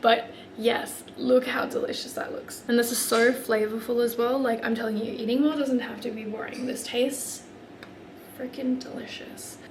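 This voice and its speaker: A young woman talking casually through her cooking with a slightly hoarse, croaky voice, full of "like" fillers. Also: on the high side, steady tone, normal speed, a little hiss or hum, poor audio. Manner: chatty, lots of "like" fillers. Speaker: vlogger.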